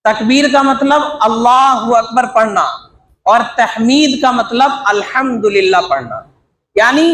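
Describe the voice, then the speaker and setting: A man with an Urdu accent giving an earnest religious talk, listing devout phrases in a steady, exhorting rhythm. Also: very high voice. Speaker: Islamic preacher. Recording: echoey room with faint background noise.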